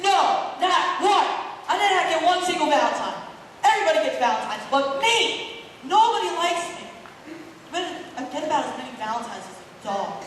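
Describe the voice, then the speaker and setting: A woman's voice: fairly high pitch, lively intonation, fast talker, a little hiss or hum, close to an everyday voice. A woman playing a nervous, hapless young boy in a stage musical, singing and fretting aloud. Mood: frustrated. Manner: nervous, self-pitying, rehearses his words aloud. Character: anxious, hapless young boy who is easily flustered. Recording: echoey hall, mic far from the stage. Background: loud musical accompaniment.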